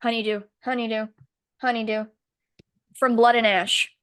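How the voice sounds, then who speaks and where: A woman's voice: on the high side, flat delivery, normal speed, a bit bright, clean tone, no room sound. A woman co-hosting a casual podcast chat, sick with a voice that cuts in and out, blunt and swearing freely. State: sick, voice going in and out. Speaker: podcast co-host.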